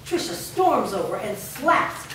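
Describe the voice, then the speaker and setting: A woman's voice: on the high side, big pitch swings, slow pace, a bit bright. Distant, echoey woman reading stage directions. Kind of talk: scripted narration at a staged reading. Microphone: distant microphone. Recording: distant, very echoey, noisy room recording.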